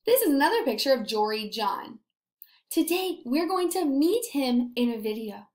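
A woman's voice: high voice, sing-song, normal speed, a little mellow. A young woman teacher speaking to small children in simple, encouraging language with questions, recorded on a webcam in a room at home. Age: young adult. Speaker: elementary school teacher. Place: indoors, small apartment room. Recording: roomy home webcam sound.

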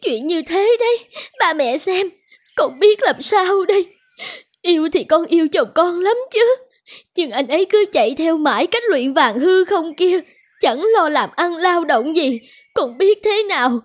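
An actress playing a long-suffering, anxious young wife and mother in an animated folk tale, plaintive in manner. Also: very high voice, unhurried. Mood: exasperated, tearful. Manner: plaintive, lamenting. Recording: music bed under the voice.

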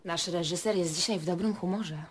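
An actress playing a chatty, starstruck, envious actress in a radio play, gushing, girlish and excitable. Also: lowish voice, brisk, slightly rough. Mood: envious excitement.

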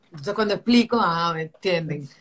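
A middle-aged woman from Venezuela with a Caracas accent, telling stories in an animated, chatty way with many restarts, recorded over a video call from home. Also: on the low side, moderate pace. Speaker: architect interviewee. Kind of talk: casual interview. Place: home room.

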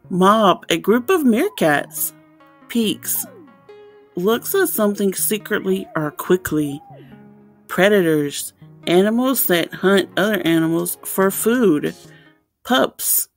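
A woman hosts a storytime read-aloud for young children at a slow, deliberate pace. Her pitch is mid-range and she explains things simply. Faint music plays behind her. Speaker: storytime host reading aloud.

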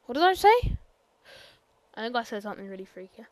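Rambling high-voiced woman narrating gameplay. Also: sing-song, brisk, no room sound. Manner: rambling, hesitant, full of ums and apologies; quiet, sometimes bursts out loud. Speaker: gameplay commentator. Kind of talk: casual game tour voiceover.

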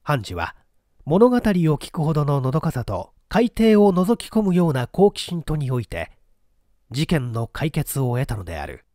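A man narrating a literary mystery story from a script, with deliberate, dramatic storytelling delivery. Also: on the high side, big pitch swings, dark tone, dry recording, clean studio sound. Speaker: audiobook narrator, stage actor.

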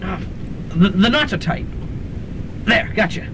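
Man playing a high-voiced hesitant college boyfriend. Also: expressive, measured pace. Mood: anxious, flustered. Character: eager, hesitant young male college student boyfriend. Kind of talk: comic student radio drama acting. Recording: noisy, rumbling early-1990s student radio recording.

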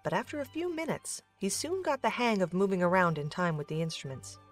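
A woman's voice: medium pitch, very animated, a little fast, soft background music. A woman giving scripted third-person narration of a story as the narrator.

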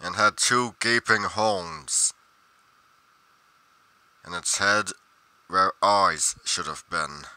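Man playing a pompous investigator, crisp deep voice. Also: slow pace, pauses often, bright, forceful tone, no room sound, heavily acted. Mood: grave, dramatic. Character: pedantic, musing male paranormal investigator narrating his journal. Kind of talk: theatrical journal monologue in a game roleplay.

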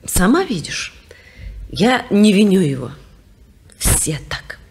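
A woman's voice: mid-range voice, big pitch swings, takes it slowly, a little mellow, a little hiss or hum. An actress playing a woman in a tense family drama, in 1950s radio play style. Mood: troubled.

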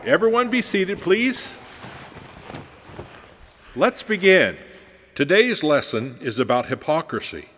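A man's voice: fairly high pitch, big pitch swings, takes it slowly, long pauses, fairly bright tone, exaggerated voice. An actor playing an earnest church class leader addressing his congregation in an audio drama. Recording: long hall reverb, faint music.

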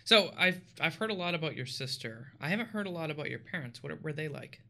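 A man's voice: on the high side, expressive, fast talker, creaky, pushed and bright. A young man hosting an interview over a headset on a video call, asking short questions. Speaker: interview host. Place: room with brick wall.

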